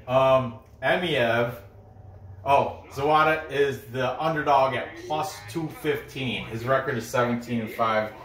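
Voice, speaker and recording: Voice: mid-range voice, unhurried, fairly bright tone. A person from New York, born in Korea, giving casual, rambling fight betting commentary and often interrupting themselves. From born in Korea, grew up in New York. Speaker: host giving betting picks. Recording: echoey room with noise.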